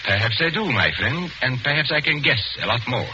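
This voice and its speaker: A man playing a calm, commanding foreign illusionist turned sleuth in 1940s radio mystery style, speaking formally and deliberately with authority. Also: medium pitch, natural voice. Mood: grave, knowing. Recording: noisy, dull 1940s radio recording.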